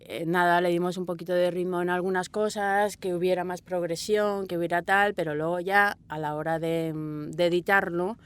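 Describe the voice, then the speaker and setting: Woman with a Castilian Spanish accent, slightly low voice, expressive director chatting informally and wandering through her answers. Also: normal speed, hardly any pauses, clean tone. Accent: Spanish (Spain). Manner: expressive, rambling, acts out quoted dialogue. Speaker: audio drama director being interviewed. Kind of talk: informal, anecdotal interview answers. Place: small sound booth.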